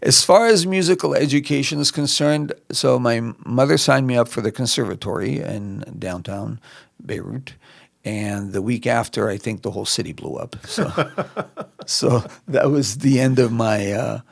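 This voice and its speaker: A middle-aged man from Lebanon talks as a podcast guest, his voice gravelly with fry. He is reflective and unhurried, trailing into fillers and restarting his sentences. The sound is dry and close. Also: mid-range voice, no room sound. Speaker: musician guest. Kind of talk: relaxed interview answers. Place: podcast studio.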